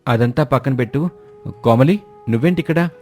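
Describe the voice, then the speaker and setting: Man playing an earnest, mellow young student. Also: mid-range voice, moderate pace, faint music behind, natural voice. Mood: matter-of-fact. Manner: serious, explaining. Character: earnest, conscientious poor young student, job seeker.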